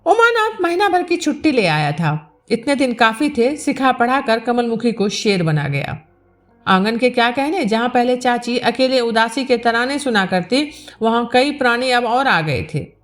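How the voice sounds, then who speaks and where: A woman's voice: fairly high pitch, expressive, unhurried, a bit bright. A woman reading a literary novel aloud as a scripted story narration. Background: background music.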